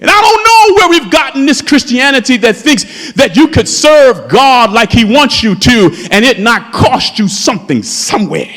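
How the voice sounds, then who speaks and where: Male pastor in his fifties, very high and lively voice, impassioned sermon, long church reverb. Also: normal speed, hardly any pauses. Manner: impassioned preaching, calls out to the congregation. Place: church sanctuary.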